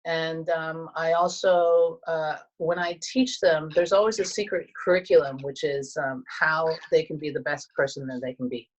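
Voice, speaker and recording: A woman in her thirties from Canada, talking casually and reflectively as a guest, with many pauses and restarts. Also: sits low, steady tone, muffled recording. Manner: soft-spoken, reflective, many fillers and restarts. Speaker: guest, teacher and coach. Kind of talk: casual conversation.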